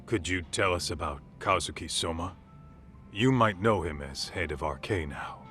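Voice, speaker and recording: A tough former yakuza from a video game, loyal and brash. He speaks in a low, hard-edged, forceful voice over light music. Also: normal speed. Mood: respectful, probing. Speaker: voice actor in an English dub. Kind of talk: video game cutscene dialogue. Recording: music behind the voice.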